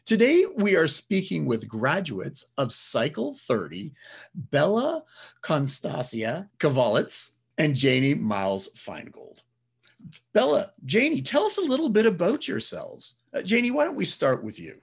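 A man hosts an interview show with a formal, announcer-like delivery. His voice is gravelly and a little husky. His pitch rises and falls dramatically from phrase to phrase. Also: wildly swooping pitch, unhurried. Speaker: podcast host. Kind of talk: scripted interview hosting.

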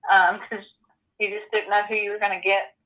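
A woman in her forties telling her personal story as an interview guest, earnest and halting, with many ums and you-knows. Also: mid-range voice, flat delivery, sharp tone, rough recording. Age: 40s.

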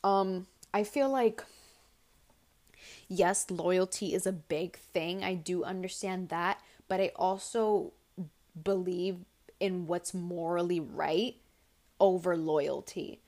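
A young woman hosting a casual advice podcast, blunt and chatty, with a tired, raspy voice as she reads listener stories aloud. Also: lowish voice, steady tone, slow pace, a little mellow. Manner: blunt, chatty, swears freely. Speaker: podcast host.

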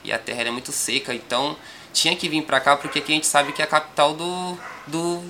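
Young guy w a sao paulo accent, really bright tone, laid back rambly podcast chat, bit of background hiss. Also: fairly high pitch, fast. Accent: São Paulo Portuguese. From Brazil. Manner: calm, rambling, frequent tag questions. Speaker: rapper guest. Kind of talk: casual podcast interview.

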